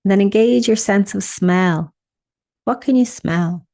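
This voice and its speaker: Woman doing a guided meditation, soft mellow tone, bit of creaky fry, slow gentle pauses. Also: medium pitch. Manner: soothing, unhurried, gently instructing with pauses.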